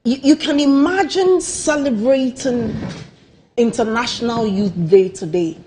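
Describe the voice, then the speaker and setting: A woman who has a cold and sounds nasal, a political guest in a TV studio who talks forcefully and repeats words for emphasis. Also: fairly high pitch, a little slow, a little hiss or hum. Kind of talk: studio discussion.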